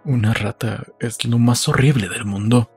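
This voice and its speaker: Man acting uneasy wistful man, subdued husky delivery, slow audiobook dialogue, background music. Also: medium pitch, steady tone, darkish tone.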